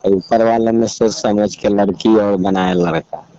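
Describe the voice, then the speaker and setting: A man's voice: on the low side, fairly even intonation, measured pace. A man with a Bihari accent chatting casually on a phone call, explaining things in a colloquial way. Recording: dull lo-fi call recording, clear noise.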